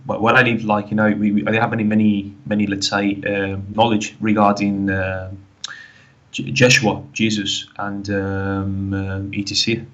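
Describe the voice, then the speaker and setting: A man with a Spanish accent from the Canary Islands, a guest in a casual interview, speaking haltingly and filling pauses with "like, you know". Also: lowish voice, completely flat delivery, fairly bright tone, muffled recording. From Canary Islands, Spain.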